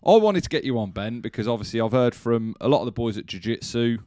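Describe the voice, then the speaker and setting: A man's voice: mid-range voice, expressive, quick pace, dark tone, no echo, clean studio sound. A young man hosting a podcast interview, asking loose questions full of fillers and repeated words. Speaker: podcast host. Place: small studio room with curtains. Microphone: desk mic on boom arm, headphones.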